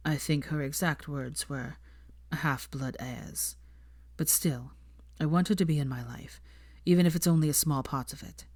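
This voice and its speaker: A woman voicing an anxious young man, speaking low and breathy. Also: moderate pace, a little mellow, no room sound. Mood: troubled. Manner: low, subdued, breathy delivery.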